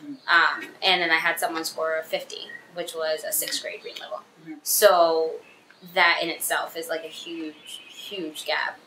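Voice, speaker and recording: Bright, lowish-voiced young woman, echoey room. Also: flat delivery, a little slow. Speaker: teacher in training. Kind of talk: personal account to camera. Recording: echoey room, faint background noise.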